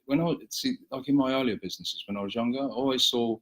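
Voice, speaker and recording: A man as a guest on a casual interview, talking in a hesitant way with frequent pauses. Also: mid-range voice. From England. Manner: hesitant, many fillers and restarts. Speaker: guest, small business owner.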